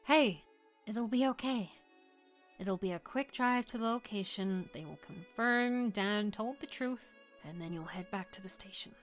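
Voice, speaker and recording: A woman's voice: mid-range voice, unhurried, faint music behind, natural voice. A woman playing a supportive, no-nonsense friend in an audio drama, direct and reassuring. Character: Stacy, supportive, no-nonsense young female friend.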